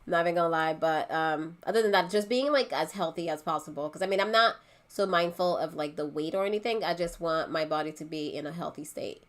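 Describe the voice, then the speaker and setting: A woman from Haiti talks in a relaxed group chat with a slightly low voice. She is pregnant, chatty and laughs easily. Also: fairly quick. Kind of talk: casual chat.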